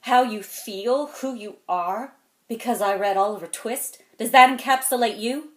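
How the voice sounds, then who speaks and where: A woman performing a dramatic monologue as a weary, wounded, stern mentor, speaking deliberately and intensely, moving between hushed and forceful. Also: lowish voice, lightly acted. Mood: hurt, angry. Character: weary, wounded, stern mentor confronting a cocky young genius. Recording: echoey room.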